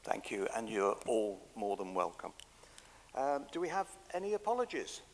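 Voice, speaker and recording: A man's voice: lowish voice, very animated, normal speed. An English man with a hesitant, filler-heavy way of speaking, chairing a council committee in a council chamber. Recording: reverberant chamber, table mic.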